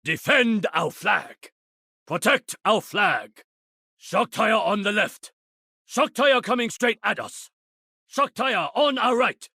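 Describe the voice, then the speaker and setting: Raspy, forceful warrior, high-voiced. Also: male voice, expressive, slow pace, dry recording, clean studio sound, heavily acted. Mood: urgent, commanding. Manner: forceful, commanding. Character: urgent, stern warrior calling out orders. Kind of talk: video game battle callouts.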